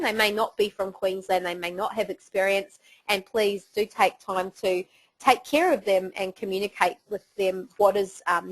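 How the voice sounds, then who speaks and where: A woman's voice: mid-range voice, fairly bright tone, low-quality recording. A middle-aged woman with a New Zealand accent presenting a business webinar, chatty and encouraging with frequent fillers.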